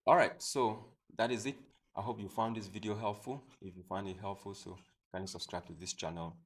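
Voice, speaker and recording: A man hosting a software tutorial, explaining step by step in a plain, repetitive way. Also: on the low side, fairly even intonation, brisk. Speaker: tutorial host. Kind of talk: screen-recorded software tutorial.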